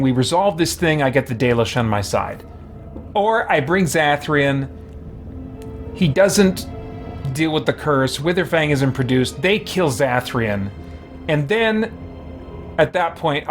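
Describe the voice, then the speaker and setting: A man streaming a game, chatting casually and thinking aloud as he plays. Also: on the high side. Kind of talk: casual live game commentary. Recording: game music behind the voice.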